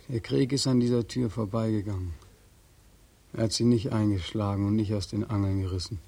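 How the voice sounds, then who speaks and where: An actor playing a despairing, homeless soldier back from war, emotional and pleading, in 1940s radio drama style. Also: male voice, lowish voice, dark tone, husky, exaggerated voice. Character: despairing returned soldier, homeless, anguished and naive. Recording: dry 1940s radio recording.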